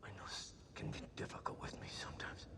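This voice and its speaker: An actor playing a grim masked vigilante, speaking in clipped, terse phrases with a raspy, growling voice. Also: male voice, quick pace, whispered, lightly acted. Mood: brooding. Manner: clipped, terse, broken sentences.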